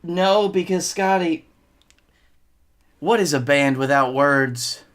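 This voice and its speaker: A man's voice: on the high side, slow pace, a bit bright. A man in his twenties with an American accent, chatting casually as a podcast co-host. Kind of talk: casual podcast banter about wrestling. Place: bedroom, indoors.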